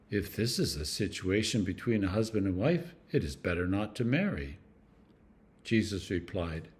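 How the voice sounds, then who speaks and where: A man reads scripture aloud as a member of the clergy would for a meditation series. His medium-pitched voice moves widely up and down. He phrases in a measured way, leaning firmly on important words.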